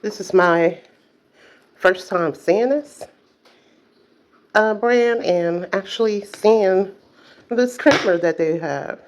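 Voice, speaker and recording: A woman's voice: medium pitch, takes it slowly, long pauses, slight background noise. An older woman vlogging casually at home, chatty, with many fillers and self-corrections. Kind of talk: casual chat to camera.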